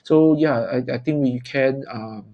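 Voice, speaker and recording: A man from Singapore with a Singaporean accent gives informal commentary as a trader, in a halting, repetitive, stop-start way. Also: medium pitch, muffled recording. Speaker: forex trader presenting market analysis. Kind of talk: informal live chart commentary.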